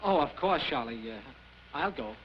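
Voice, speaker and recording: An actor playing an anxious, meek, placating companion with hesitant, fussing delivery in an old comedy film. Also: male voice, a little high, lively intonation, normal speed, close to an everyday voice. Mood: meek, resigned. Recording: muffled, noisy old film soundtrack.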